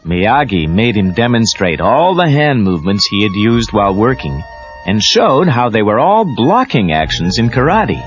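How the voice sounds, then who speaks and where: A muffled cassette recording with music behind a male narrator. His voice is fairly low and very animated as he reads a children's story. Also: moderate pace. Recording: dull lo-fi cassette tape.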